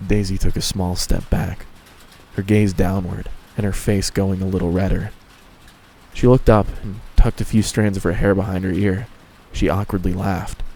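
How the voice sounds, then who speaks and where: A man's voice: low-pitched, little pitch movement, normal speed, dark tone, slight background noise, low-quality recording. A man reading scripted fan fiction narration as the storyteller.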